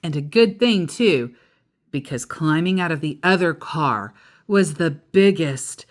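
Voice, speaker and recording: A woman reading a storybook aloud to a child, expressive and chatty, with casual asides. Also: low voice, unhurried.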